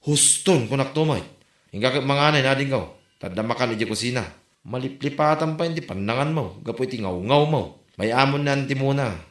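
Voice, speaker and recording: An actor with an Ilocano accent playing a scolding, nagging older-brother figure in a family comedy drama. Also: male voice, medium pitch, animated, brisk, natural voice. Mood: grumbling advice. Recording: roomy echo with faint music behind.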